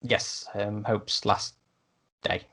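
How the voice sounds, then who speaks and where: A man's voice: lowish voice, flat delivery, very slow, pushed and bright, husky. A man hosting a podcast, chatting informally as he reviews and recommends things. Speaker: podcast host. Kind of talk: casual podcast review chat.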